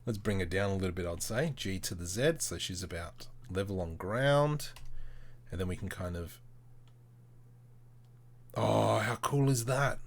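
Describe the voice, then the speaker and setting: A man with a fairly low voice hosts a casual screen-share tutorial on a livestream. He thinks aloud in short step-by-step phrases, with informal, slangy wording. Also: measured pace, long pauses.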